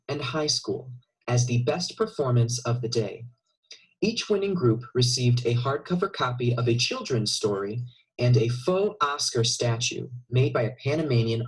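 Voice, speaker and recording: A man reading scripted narration as the narrator of an informational video, with a slightly husky voice. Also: mid-range voice, poor audio.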